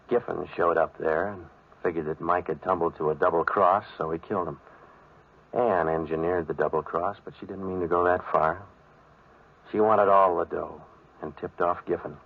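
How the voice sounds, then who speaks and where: Very deep hardboiled American radio detective. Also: male voice. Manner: terse, deadpan, wisecracking. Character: cynical, world-weary hardboiled male private operator narrating a case. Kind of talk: 1940s radio noir narration. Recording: muffled vintage radio recording.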